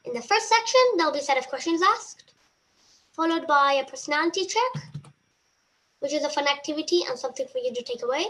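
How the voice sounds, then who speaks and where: A woman's voice: high-pitched, expressive, fast, fairly bright tone, a little hiss or hum, rough recording. A young woman running an interview, reading prepared questions politely and thanking the speaker often.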